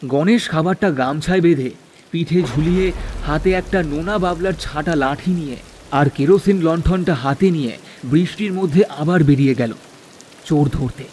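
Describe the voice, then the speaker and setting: A man's voice: on the high side, moderate pace, a little mellow, a little hiss or hum. A man narrating a scripted village ghost story in a dramatic storytelling style.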